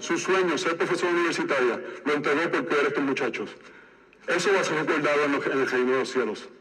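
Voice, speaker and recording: Man, church pastor, slight lisp, high voice, bright tone, emphatic repetitive preaching. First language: Spanish. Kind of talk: sermon. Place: church sanctuary. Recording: echoey hall, voice from far off.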